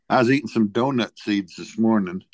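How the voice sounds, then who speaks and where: A man with a fairly low voice co-hosts a podcast. He talks casually and tells everyday anecdotes in a plain conversational way, recorded close with very little room sound. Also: normal speed, no room sound. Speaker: podcast co-host.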